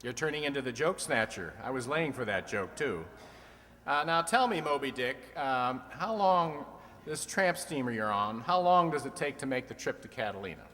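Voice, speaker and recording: A man's voice: mid-range voice, animated, moderate pace, a bit bright, close to an everyday voice. A man playing a wisecracking, teasing comedian who hosts an old-time radio quiz show, needling guests with mock-obtuse questions. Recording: echoey hall with long reverb.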